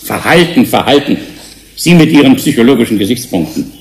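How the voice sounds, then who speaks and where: Older male professor played by an actor for radio drama: gravelly, swinging intonation, proud, querulous, insistent. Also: medium pitch, expressive, a little fast, lightly acted. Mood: indignant. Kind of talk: German radio drama acting.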